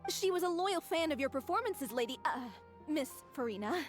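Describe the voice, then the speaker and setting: A woman's voice: high voice, animated, normal speed, faint music behind. A woman voicing an earnest, heartfelt actress from a theater troupe in a game.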